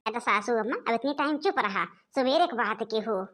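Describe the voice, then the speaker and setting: Woman playing a high-voiced, soothing Awadhi daughter-in-law. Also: expressive, brisk, fairly bright tone. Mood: placating. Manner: placating, respectful. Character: patient, soothing young village daughter-in-law in cartoon. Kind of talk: animated comedy dialogue.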